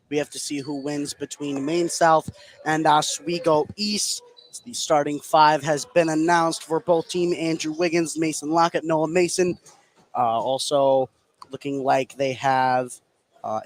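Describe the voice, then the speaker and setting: A man hosting and calling a live high school basketball game as play-by-play commentator.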